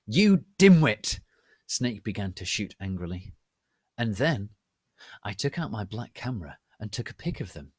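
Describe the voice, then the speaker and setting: A man narrating a story as a dramatic reading, in a deliberate and theatrical manner. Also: lowish voice, expressive, slightly rough, no echo.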